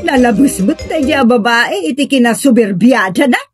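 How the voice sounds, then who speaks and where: A woman playing a feisty village woman, colloquial and expressive, in an audio comedy drama. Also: a little high, normal speed, loud music behind the voice, natural voice. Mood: grumbling. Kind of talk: Ilocano radio-style comedy drama acting.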